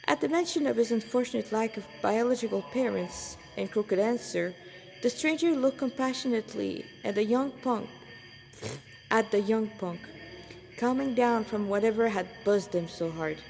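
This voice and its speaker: A woman's voice: fairly high pitch, moderate pace, creaky, a little mellow, in a large hall, faint music behind, poor audio. A woman reading a story aloud as narrator, an amateur who stumbles over phrases and repeats them. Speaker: amateur fan fiction author reading aloud.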